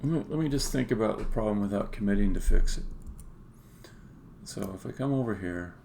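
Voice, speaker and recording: A man who develops games, talking casually and haltingly to himself as he live-codes on a stream. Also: lowish voice, lively intonation, creaky, warm tone. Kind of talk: casual live-coding commentary, thinking aloud. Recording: faint background noise with keyboard clicks. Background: computer keyboard typing and clicking.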